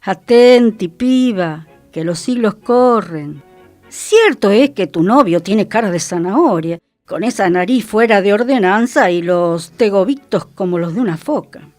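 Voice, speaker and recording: A woman with a Buenos Aires accent gives a dramatic, expressive literary reading on the radio. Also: mid-range voice, a little slow, dry recording, soft background music. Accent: Argentine, Buenos Aires. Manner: expressive, theatrical storytelling. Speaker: radio host and reader.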